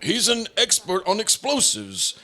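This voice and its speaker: A man playing an earnest, halting federal agent in an old-time radio drama, with stammered pauses. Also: high voice, huge pitch swings, pushed and bright, exaggerated voice. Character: hesitant, earnest male federal bureau agent.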